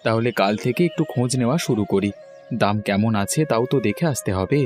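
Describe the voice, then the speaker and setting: A man's voice: lowish voice, fast, darkish tone, soft background music, natural voice. A man voicing a cautious cartoon village fish farmer who speaks colloquially and a little hesitantly. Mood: agreeable. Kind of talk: cartoon dialogue.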